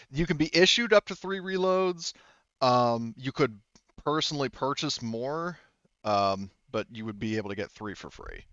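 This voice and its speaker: Smooth low-voiced man running a tabletop game. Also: fairly bright tone, dry recording, dull audio. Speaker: game master. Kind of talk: tabletop roleplaying game narration and rules talk.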